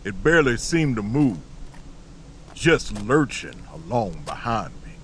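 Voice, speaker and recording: A man playing a grave, watchful lone traveler, narrating an audio drama in deliberate, ominous, terse phrases. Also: mid-range voice, big pitch swings, a bit bright, a little hiss or hum. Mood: tense, hushed awe.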